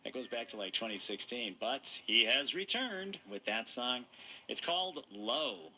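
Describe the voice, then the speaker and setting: A man's voice: medium pitch, very animated, measured pace, pushed and bright. A man presenting music as a radio DJ, heard through a small radio speaker. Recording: noisy radio playback picked up by microphone.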